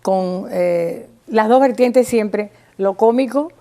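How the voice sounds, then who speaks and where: Woman, Cuban accent, medium pitch. Informal lecturer who feels her way through phrases and checks in with the listener. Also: mellow. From small town in Cuba. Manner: didactic, halting, checks with listener using tag questions. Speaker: guest speaker on Cuban theatre history. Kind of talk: informal explanatory talk.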